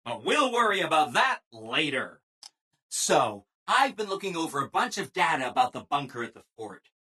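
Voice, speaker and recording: Game robot assistant: bright, very animated, ingratiating, relentlessly upbeat people-pleaser. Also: male voice, fairly high pitch, normal speed, slightly rough, heavily acted. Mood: chipper, helpful. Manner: chipper, ingratiating, emphatic, apologetic people-pleaser. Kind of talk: scripted video game dialogue.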